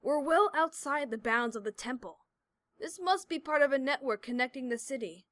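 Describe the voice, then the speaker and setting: A woman plays a brash young pony heroine from an audio drama. Her voice is high and sharp, recorded dry, and she sounds sarcastic, teasing and impatient. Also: normal speed, no echo. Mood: brisk, teasing. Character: brash, sardonic young female pony adventurer. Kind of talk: audio drama character acting.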